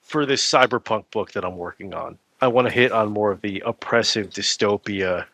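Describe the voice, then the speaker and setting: A man's voice: on the low side, normal speed, sharp tone, dry recording. A man chatting casually as a guest on an interview, informal and jokey as he explains things through examples. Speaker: podcast guest, adventure novelist.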